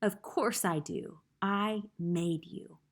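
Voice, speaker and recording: A woman voicing a big, fatherly woodcarver in a children's storybook, speaking gently and thoughtfully with slow reassurance. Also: mid-range voice. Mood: gentle.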